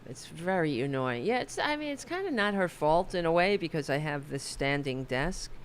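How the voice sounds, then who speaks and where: A woman's voice: sits low, sing-song, normal speed, slight background noise. A woman hosting a casual talk livestream from her home office, a bit under the weather and losing her voice, rambling and self-deprecating. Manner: rambling, chatty, self-deprecating, swears and corrects herself. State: losing her voice, a little sick. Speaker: host of a political talk livestream.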